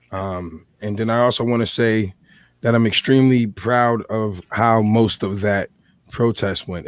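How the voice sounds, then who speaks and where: Low-voiced, slightly hoarse American man. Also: dry recording, clean studio sound. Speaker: podcast host. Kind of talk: casual podcast talk.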